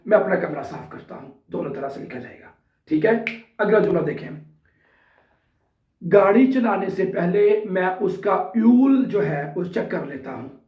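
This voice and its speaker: A man with a sore throat teaching a language lesson in a casual way, repeating example sentences word by word. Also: high voice. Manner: slow and repetitive, spelling out example sentences word by word. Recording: distant, roomy, dull sound.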